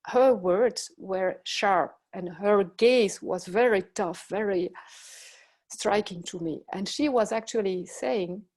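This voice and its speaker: A middle-aged woman with a Belgian French accent delivers a lecture built from personal anecdotes. She speaks in a measured, reflective way, pausing often and reworking her phrasing mid-sentence. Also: mid-range voice, darkish tone. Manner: reflective, measured, with pauses and self-corrections. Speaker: professor giving a talk.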